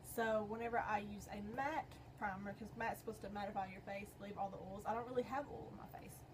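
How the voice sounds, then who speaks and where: A young woman with a slightly hoarse voice, chatting her way through a makeup tutorial in a rambling, filler-heavy way. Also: mid-range voice, steady tone, a little fast. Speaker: beauty vlogger. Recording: noisy home recording.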